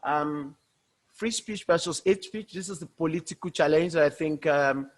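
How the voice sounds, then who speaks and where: Guy w a giving a research talk on a video call, bit higher voice, loose and chatty, keeps rephrasing. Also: moderate pace. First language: Yoruba. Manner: informal and loosely explaining, often rephrasing mid-sentence. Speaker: researcher presenting at a workshop. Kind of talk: academic conference presentation.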